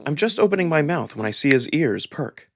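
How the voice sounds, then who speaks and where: Expressive male narrator with lively pitch. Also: fairly quick, vocal fry. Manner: expressive, acted-out delivery. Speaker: narrator and voice performer. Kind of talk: dramatic first-person scripted narration of a visual novel.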